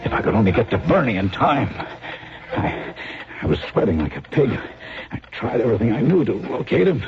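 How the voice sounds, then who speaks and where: A low-voiced, swooping wheeler-dealer agent. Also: male voice, very animated. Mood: tense, regretful. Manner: slangy, fast-talking salesman patter, confessional asides. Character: male wheeler-dealer talent agent, glib, guilt-ridden, lovestruck. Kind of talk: radio drama acting with first-person narration. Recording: dull radio recording with dramatic music.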